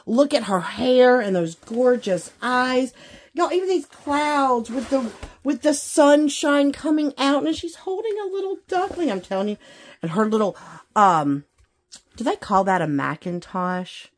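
A woman with a fairly high voice chats casually to her viewers during a craft unboxing. Her delivery is folksy and gushing, full of exclamations. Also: big pitch swings, unhurried. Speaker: craft vlogger. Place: indoors at a craft table.

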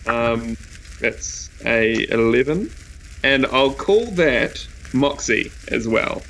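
A man's voice: medium pitch, expressive, slow, fairly bright tone, a little hiss or hum, poor audio. A man with a New Zealand accent casually narrating his character's actions as a player in a tabletop game.

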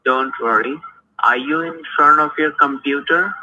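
A man on a muffled phone line keeps pressing for details and repeats his questions. His voice is raspy with a sharp, bright edge. He sounds insistent and impatient. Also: fairly high pitch, measured pace. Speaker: phone scammer.

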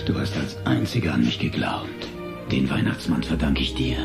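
A loving father turned Santa speaks earnestly in a family audio drama. His voice sits low with a gravelly edge and moves freely in pitch. Music plays underneath him. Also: normal speed. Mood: tender, gentle. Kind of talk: film dub acting in an audio play.

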